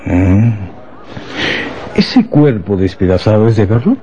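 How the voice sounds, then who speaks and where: An actor playing a shrewd senior police chief in a radio drama, musing and questioning with deliberate authority. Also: male voice, fairly low pitch, very animated, dark tone, muffled recording, slight background noise. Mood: skeptical, musing.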